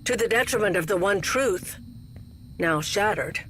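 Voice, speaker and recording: An actress playing a haunted, secretive older woman, grave and measured, in a dramatic game cutscene. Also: mid-range voice, animated, fairly bright tone, a little hiss or hum, poor audio. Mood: grave warning. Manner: solemn, measured.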